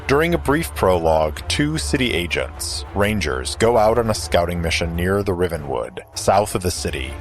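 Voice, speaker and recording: A man co-hosting a podcast, narrating a scripted recap of a fantasy story in a dramatic style. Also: sits low. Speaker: podcast co-host.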